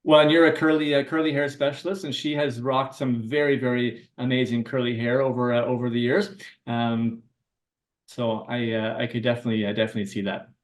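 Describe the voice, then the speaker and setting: A man's voice: medium pitch, flat delivery, a little fast, echoey room, far from the mic. A man hosting a podcast, eager and talkative, circling his questions with fillers. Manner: enthusiastic, rambling with fillers and restarts. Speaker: podcast host. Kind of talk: casual podcast interview.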